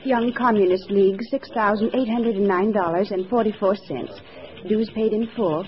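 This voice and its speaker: An actress playing a dutiful, efficient communist bookkeeper in a 1950s radio drama, with clipped delivery. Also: female voice, mid-range voice, normal speed, natural voice. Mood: brisk, efficient. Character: brisk, dutiful female communist bookkeeper comrade. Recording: muffled old radio recording, faint hiss.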